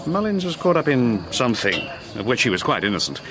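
An actor playing a cautious, practical racing stable trainer in a radio drama, firm and measured. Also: male voice, medium pitch, big pitch swings, crowd noise, lightly acted. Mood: cautious, frank. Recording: clear background ambience noise.

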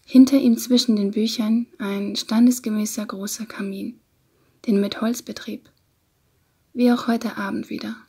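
A woman reading literary narration in a breathy voice that often slips toward a whisper. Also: mid-range voice, steady tone, normal speed, mellow. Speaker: audiobook narrator.

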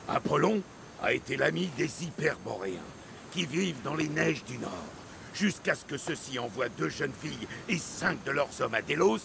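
An actor playing an excitable old Greek sailor and storyteller in a theatrical, expansive video game style. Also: male voice, fairly high pitch, vocal fry, background noise, heavily acted. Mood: storytelling. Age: older. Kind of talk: dramatic video game acting.

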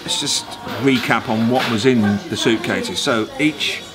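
A man's voice: medium pitch, measured pace, over music. A British man in his forties, a vlogger talking casually to camera in an emphatic, wry, explanatory way. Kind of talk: casual vlog chat.

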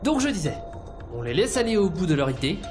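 A woman's voice: lowish voice, very animated, fast, over music. A woman playing an earnest, defensive male scientist of small stature in an audio drama, speaking in formal, wordy phrases. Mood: earnest, proposing.

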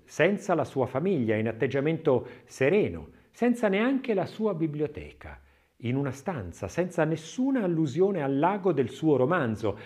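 A man reading a scripted literary audiobook essay as narrator, in a measured, expressive way. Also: medium pitch.

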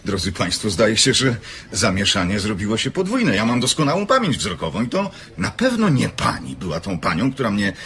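Low, bright-voiced courteous gentleman. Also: huge pitch swings, brisk, heavily acted. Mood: measured explanation. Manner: courteous, formal. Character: polite, puzzled gentleman clearing up a misunderstanding. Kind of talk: scripted audio drama acting.

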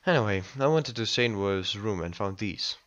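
Slightly muffled recording of a man as a self-important president in a sci-fi audio drama. He is formal and insistent, with a fairly low voice. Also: animated, moderate pace, fairly bright tone, slight background noise. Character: restless, self-important male president turned amateur sleuth.

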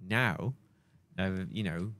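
A man's voice: deep voice, slow, a bit bright. A man in casual podcast banter, telling a rambling story with hesitations. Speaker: podcast co-host.

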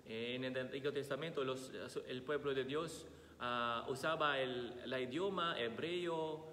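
Filipino-accented priest, slow halting homily, bright tone, long church reverb. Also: male voice, mid-range voice, slight background noise. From Philippines. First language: Tagalog. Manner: slow and halting, many "ah" fillers while finding words. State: slightly sick with a cold. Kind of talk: Gospel reading and homily at Mass. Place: large church, seated at altar. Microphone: fixed camera across the altar.

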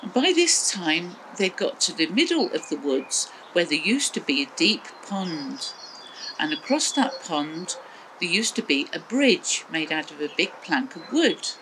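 Older English woman telling a lively children's story. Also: lowish voice, measured pace, slight background noise, low-quality recording. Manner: expressive, simple phrasing. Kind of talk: children's storytelling, talking to small listeners. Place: outdoors in a small back garden.

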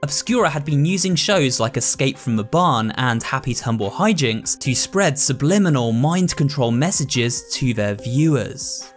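Mężczyzna opowiadający historię gry. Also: male voice, mid-range voice, measured pace, hardly any pauses, soft background music. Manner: steady, explanatory. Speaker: narrator. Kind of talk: scripted lore narration.

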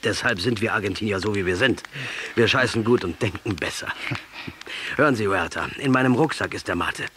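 Guy playing captured rebel commander in a radio play, middle aged, lowish voice, sardonic and proud, bit of noise. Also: normal speed, lightly acted. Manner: wry, commanding. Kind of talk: radio drama acting.

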